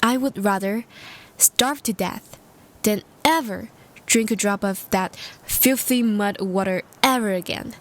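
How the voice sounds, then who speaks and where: A woman's voice: mid-range voice, unhurried, natural voice. A woman voicing a polite, lovestruck ancient vampire who speaks in formal, old-fashioned, hesitant and earnest phrases in an intimate roleplay audio drama. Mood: rapturous.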